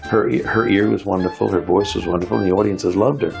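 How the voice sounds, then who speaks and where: Gravelly man recalling a performer. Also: sits low, fairly even intonation, quick pace, music in the background. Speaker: interviewee. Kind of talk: documentary interview.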